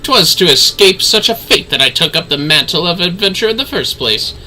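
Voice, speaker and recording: A man voicing a disdainful, high-flown adventurer from a fantasy video game in a formal, theatrical manner. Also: expressive, a little fast, bright, forceful tone, slight background noise, heavily acted, poor audio. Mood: haughty, bitter.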